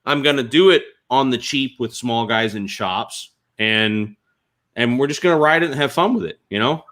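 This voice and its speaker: A man talks casually with a sharp, forceful edge to his voice. His pitch sits in the middle. He tells stories in an animated, informal way. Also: normal speed. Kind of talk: casual storytelling chat.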